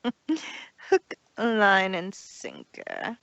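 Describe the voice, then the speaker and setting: Scheming mare villain played by a woman, smug, dry, commanding, widely swinging pitch, close dry sound. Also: wildly swooping pitch, very slow, vocal fry, a bit bright, no room sound, full character voice, low-quality recording. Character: scheming, smug female pony villain with demented chuckle.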